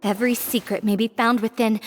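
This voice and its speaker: A woman's voice: on the high side, fairly even intonation, brisk, a little hiss or hum, lightly acted. An actress playing an anxious, deferential young woman in a game dub, formal, earnest and apologetic as she pleads. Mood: grave, earnest.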